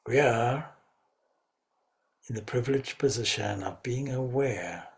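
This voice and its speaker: A man leading a guided meditation as a spiritual teacher, speaking reverently in short, measured phrases. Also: medium pitch, gravelly.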